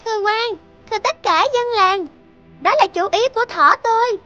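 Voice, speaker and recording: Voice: very high voice, moderate pace, lo-fi sound. An actor voicing a clever, confident little rabbit judge in a children's fairy-tale cartoon, speaking in a reassuring, articulate way. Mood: assured, pointed. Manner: self-assured, reassuring, articulate. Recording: loud music under the voice.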